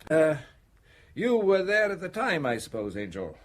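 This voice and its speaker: A man playing a brisk, quietly suspicious police inspector in a radio drama, questioning with hesitant pauses and ums. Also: a little high, huge pitch swings. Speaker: actor in a radio play. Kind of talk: British radio drama dialogue.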